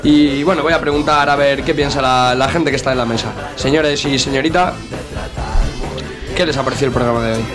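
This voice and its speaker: A man's voice: medium pitch, steady tone, fairly quick, music in the background. A man with an accent from Spain, presenting a radio programme in a casual, conversational way. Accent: Spanish from Spain.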